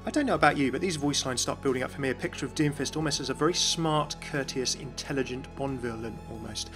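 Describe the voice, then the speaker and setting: A man's voice: mid-range voice, fast, music in the background. A man hosting a game lore video, talking through voice lines in a chatty, enthusiastic voice-over. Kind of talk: scripted commentary voice-over.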